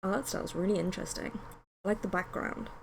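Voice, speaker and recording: A woman's voice: medium pitch, steady tone, brisk, vocal fry, noisy background. A British woman streaming a game, speaking casually and informally as a live-stream host. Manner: chatty, informal. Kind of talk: casual live-stream chat with read-aloud story narration.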